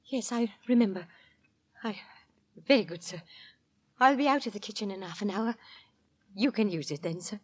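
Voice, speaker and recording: A woman's voice: medium pitch, normal speed, pauses often, close to an everyday voice. An actress playing a deferential, anxious landlady, servile and eager to please, in 1940s radio style. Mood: flustered, deferential. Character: Missus Bunting, deferential, anxious, married landlady. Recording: vintage 1940s radio drama.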